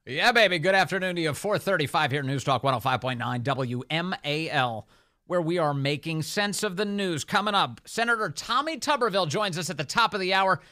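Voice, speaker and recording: Man, radio talk host, bright punchy voice, slightly high pitch, dry close studio sound, conversational political commentary. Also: lively intonation, a little fast, no echo.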